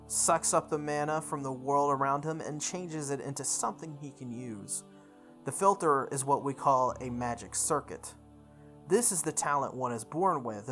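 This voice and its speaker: Game playthrough reader over clear music. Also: medium pitch, a bit bright. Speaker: video game playthrough host. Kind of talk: reading visual novel narration aloud. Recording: background music behind the voice.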